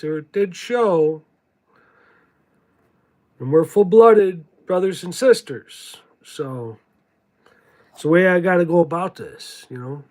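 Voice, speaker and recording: Slow, halting man, fairly high voice. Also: a little mellow. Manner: halting, deliberate, repeats words for emphasis. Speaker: spiritual teacher reading from own writings. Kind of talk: slow contemplative spiritual monologue.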